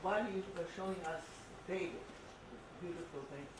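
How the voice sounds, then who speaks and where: An older woman speaking from the audience, making a discursive, halting academic comment in an explanatory way. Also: fairly low pitch. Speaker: audience member commenting on art. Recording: echoey, noisy hall, far from microphone.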